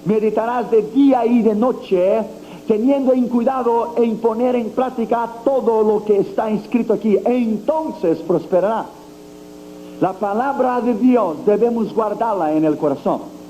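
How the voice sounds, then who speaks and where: A high-pitched man preaching with a very dark, mellow tone, speaking with a light tinge. He declaims emphatically to his congregation, and a faint hiss from an old recording sits beneath him. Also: dull audio. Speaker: evangelist preacher. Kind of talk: sermon.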